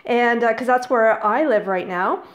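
A woman's voice: fairly high pitch, moderate pace, highs cut off. Bubbly, eager woman interviewer. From Canada. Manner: bubbly, eager, laughs often. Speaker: blogger interviewer. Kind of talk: casual interview.

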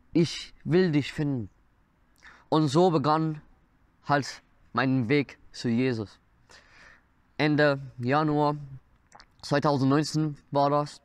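A man's voice: medium pitch, dry recording. Earnest young Iranian-born man. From Iran. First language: Persian. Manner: earnest, searching for words, non-native German grammar. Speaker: believer sharing testimony. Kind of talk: personal testimony to camera. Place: indoors, dark wooden wall behind. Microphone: camera in front, seated mid-shot.

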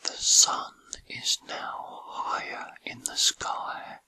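A man whispering a guided meditation in a soothing, gentle, breathy ASMR style with unhurried pauses. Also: dry recording.

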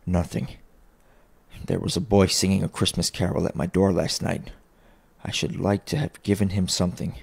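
A man's voice: low-pitched, little pitch movement, moderate pace, creaky, a little mellow, slightly rough, exaggerated voice. A man voicing an old miser, muttering and exclaiming in turn. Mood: agitated. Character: old miser Scrooge, mournful then excited.

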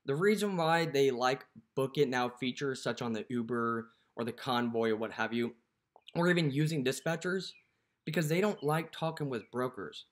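Young man, mid-pitched, casual walkthrough, filler-heavy, close-up camera. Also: moderate pace. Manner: conversational, filler-heavy, lots of "you know". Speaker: dispatcher and app promoter.

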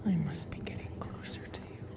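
A woman playing a menacing, hungry sleep paralysis demon in a breathy whisper for an ASMR horror roleplay. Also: lightly acted. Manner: slow, drawn-out, taunting.

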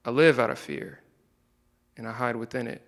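A young man reading his own spoken word poetry into a handheld microphone, soft-spoken and hesitant between poems. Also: mid-range voice, big pitch swings, normal speed, long reverb tail. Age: young adult. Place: indoor room, standing by a wall.

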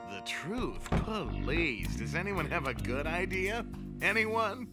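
Snarky vain cat warlock, swoops all over in pitch, cartoon with music. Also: male voice, big pitch swings, slow pace, exaggerated voice. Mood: sardonic. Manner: sardonic, dramatic, wheedling. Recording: cartoon dialogue with music behind.

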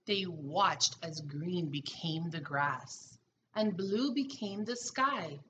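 Female pre-K teacher, middle-aged, storybook read-aloud; bright, animated, slow-paced delivery. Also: fairly low pitch, a little hiss or hum. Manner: expressive, deliberate storytelling for young children. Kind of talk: children's picture book read-aloud. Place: small room at home, indoors.

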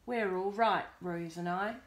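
A woman's voice: lowish voice, unhurried, lightly acted. A woman voicing a stubborn, possessive male dog from a storybook, firm and curt. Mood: firm, jealous. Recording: roomy echo, background noise.

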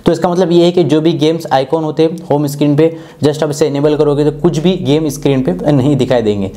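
A man in his twenties with an Indian accent speaks in a fairly high but soft, mellow tone. He explains phone features in an instructional, step-by-step way, as the host of a tech review. He is close on a clip-on mic. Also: fairly quick. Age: 20s. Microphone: clip-on lapel mic.

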